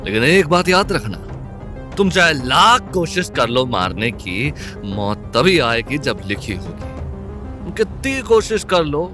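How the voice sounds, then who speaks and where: A man's voice: fairly low pitch, sharp tone, full character voice. An actor playing a fearless, proud man in an audio drama, with a provoking, swaggering manner. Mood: defiant. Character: defiant, fearless young male crime-drama lover, taunting and proud.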